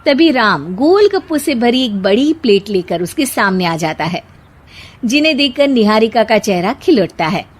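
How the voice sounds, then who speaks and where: A woman narrating a cartoon story in a steady, matter-of-fact scripted style. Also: fairly high pitch, normal speed.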